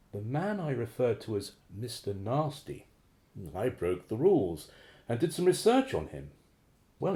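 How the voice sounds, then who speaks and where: A man's voice: on the low side, mellow. An actor playing a formal, hesitant, uneasy man, a secretive operative who has turned on his organization, in a radio drama. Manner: hesitant, formal, well-spoken.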